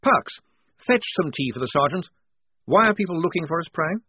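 A man's voice: fairly high pitch, animated, a little fast, breathy edge, no echo, phone-quality sound, voice pushed up. A man voicing a nice but worried army officer who is prim, polite and pompous, trying to sound firm. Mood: flustered, courteous. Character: nice, worried young male army officer feigning firmness.

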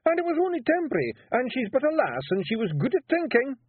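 A man putting on a tiny Scottish fairy chief with a broad brogue, blustering and sheepish, his husky, raised voice leaping all over the place. The sound is tinny and dry, like over a phone. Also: extremely high pitch, huge pitch swings, fast talker, no room sound, voice pushed up, heavily acted. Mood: pleading. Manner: flustered broad brogue, stammering.

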